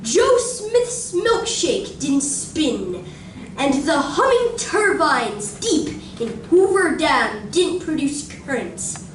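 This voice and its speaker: A woman narrating a radio drama in a theatrical, deliberate scripted style. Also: sits high, big pitch swings, full character voice. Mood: solemn, dramatic. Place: library community room, live performance. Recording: echoey community room, distant, noisy.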